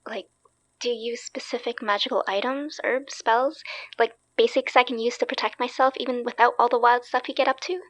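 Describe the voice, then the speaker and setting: A woman playing an eager, plucky young woman in an audio drama, chatty and a little halting. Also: fairly high pitch, steady tone, no echo, lo-fi sound, natural voice. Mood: earnest, curious. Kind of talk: naturalistic audio drama acting.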